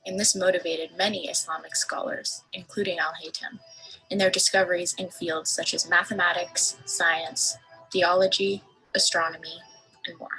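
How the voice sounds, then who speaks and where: A young woman reading a scripted documentary narration for a student presentation, steady and at times breathy. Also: on the low side, moderate pace, soft background music, poor audio.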